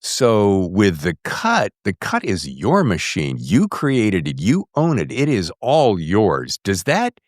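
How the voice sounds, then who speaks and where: Low, expressive male podcast host. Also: slow, hardly any pauses, no room sound, studio quality. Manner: expressive, emphatic, acts out imagined quotes. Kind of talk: casual conversational interviewing.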